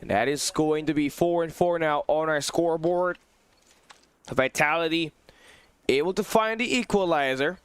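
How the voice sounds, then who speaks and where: A man giving live play-by-play commentary on an esports match, his voice tired and hoarse, excitable and rising to shouts at big plays. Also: fairly high pitch, normal speed, dry recording. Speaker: esports caster. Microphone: phone on a low battery.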